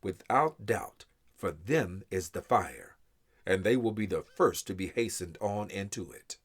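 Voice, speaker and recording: A man giving a solemn, measured, reverent reading of scripture in English translation. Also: sits low, lively intonation, breathy edge. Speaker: scripture reader.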